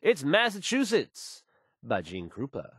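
An awkward young male DJ in a video game. He stammers and hesitates through his song introductions, his pitch jumping around. The sound is dry and studio-clean. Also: wildly swooping pitch, unhurried, a bit bright, slightly rough, no room sound, heavily acted. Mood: tentatively upbeat. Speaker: radio DJ. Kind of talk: halting radio DJ patter between songs.

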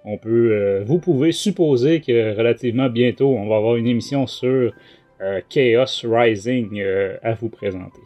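A man's voice: medium pitch, normal speed, warm tone, soft background music. A man with a Quebec French accent hosting a casual book review podcast, talking in a hesitant way. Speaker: podcast host.

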